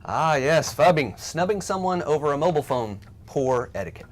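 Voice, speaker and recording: A man co-hosting a workplace tech show, giving measured, scripted explanations with light, dry banter. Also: a little high, expressive.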